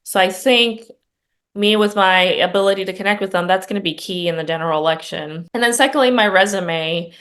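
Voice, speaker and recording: A woman's voice: mid-range voice, brisk, fairly bright tone. A middle-aged woman from Puerto Rico, a congressional candidate speaking in an interview, earnest and conversational with frequent ums and restarts. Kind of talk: campaign interview.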